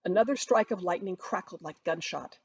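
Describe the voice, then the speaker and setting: A woman author reading her own novel aloud in an expressive storytelling narration. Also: fairly low pitch, a little fast, breathy edge, muffled recording.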